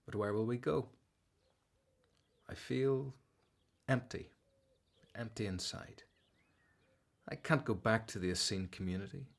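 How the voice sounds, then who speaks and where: An actor playing an earnest, weary disciple in biblical radio drama dialogue, sober and questioning. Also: male voice, fairly low pitch, a little slow, creaky, long pauses. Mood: downcast.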